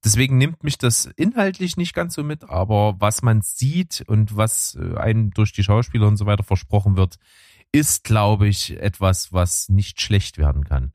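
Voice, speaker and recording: A man's voice: on the low side, moderate pace, a little mellow, no room sound, clean studio sound. A man chatting casually about films and trailers as a co-host, rambling with many fillers. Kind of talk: casual film chat.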